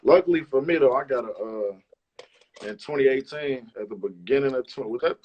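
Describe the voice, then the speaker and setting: A man's voice: medium pitch, expressive, normal speed, muffled recording. A man talks casually as a guest in an interview. His speech is loose, slangy and full of swearing, in a mid-range voice. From Chicago, United States. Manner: slangy, profane. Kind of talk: casual chat.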